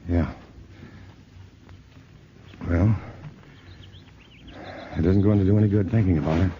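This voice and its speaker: A man's voice: low-pitched, measured pace. A man playing a level-headed scientist in a 1950s radio drama, speaking steadily and reassuringly. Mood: easygoing. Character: level-headed male scientist, adult, calm and protective. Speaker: scientist hero in a radio play. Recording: muffled old radio broadcast with faint hiss.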